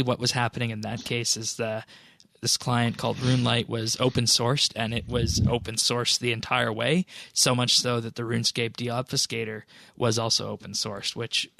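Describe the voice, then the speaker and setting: A man's voice: medium pitch, gravelly, pushed and bright, dry recording. A man co-hosting a casual gaming podcast, explaining things in a matter-of-fact way. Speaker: podcast co-host. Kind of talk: casual panel discussion about online games.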